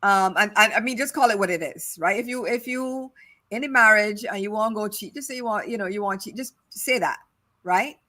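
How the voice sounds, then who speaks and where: Middle-aged Barbadian woman, podcast co-host, emphatic and repetitive, mid-pitched voice. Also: brisk, pushed and bright. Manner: emphatic, repeats phrases for stress. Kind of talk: casual discussion.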